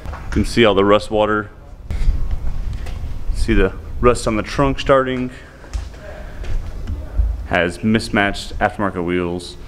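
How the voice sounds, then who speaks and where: A man in his thirties giving casual, enthusiastic commentary as a car vlogger, talking to his viewers. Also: fairly low pitch. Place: outdoors by roadside car lots and shops. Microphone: handheld camera mic. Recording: outdoor camera audio with street noise.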